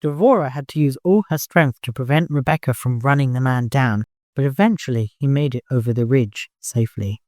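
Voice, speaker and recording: Audiobook narrator, middle pitch, reading a dramatic story, very dry close sound. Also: a little fast, darkish tone, no room sound. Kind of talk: scripted dramatic fiction narration.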